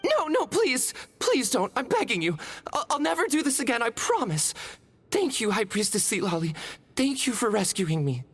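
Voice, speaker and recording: A man's voice: extremely high pitch, sing-song, moderate pace, fairly bright tone, husky, exaggerated voice. An actor playing a timid, guilt-ridden young man in a video game, earnest and stammering. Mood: frightened, pleading.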